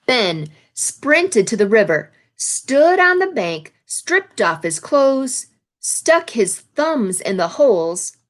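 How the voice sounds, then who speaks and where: A woman reading a children's picture book aloud in an expressive, instructive way, adding explanations for young listeners. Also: a little high, slow. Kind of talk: read-aloud storytelling with explanatory asides to children.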